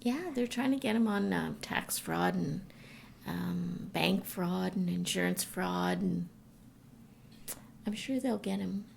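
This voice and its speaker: A woman's voice: on the low side, slow pace, vocal fry, a little mellow, a little hiss or hum. A middle-aged woman, chatting casually with her partner as a podcast co-host. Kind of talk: casual chat between a couple. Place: at home in self-isolation.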